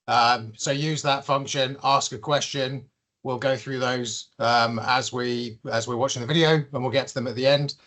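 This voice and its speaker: A man's voice: mid-range voice, fairly bright tone. A man co-hosting a webinar, friendly and practical, explaining the setup and leading an interview. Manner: brisk, friendly, practical with fillers. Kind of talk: presenting and interviewing.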